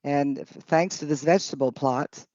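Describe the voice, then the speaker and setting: A woman's voice: low-pitched, normal speed, a little mellow, no room sound. A woman interpreting at a public hearing in short, hesitant, piecemeal phrases. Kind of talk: halting consecutive interpretation. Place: committee hearing. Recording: dull, muffled audio.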